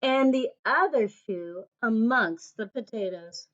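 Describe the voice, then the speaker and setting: A woman reading a children's story aloud, with deliberate pauses between short phrases. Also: on the high side, a bit bright. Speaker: storybook reader. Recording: dull lo-fi recording.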